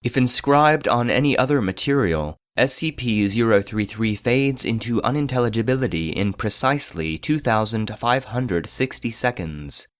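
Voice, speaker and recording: An actor playing an impassive archive database voice, reading classified reports in a formal, measured, clinical way. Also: male voice, mid-range voice, expressive, no echo, lightly acted. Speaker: narrator. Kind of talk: scripted narration, clinical report.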